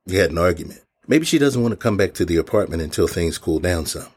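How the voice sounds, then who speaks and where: An actor playing a calm, sceptical police chief with an informal, measured way of talking in an audio drama. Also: male voice, sits low, slightly rough. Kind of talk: naturalistic audio drama acting.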